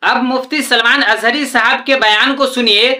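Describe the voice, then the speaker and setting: A woman's voice: on the high side, fairly even intonation, normal speed, pushed and bright. A woman presenting a video with scripted explanatory narration. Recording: roomy echo on voice.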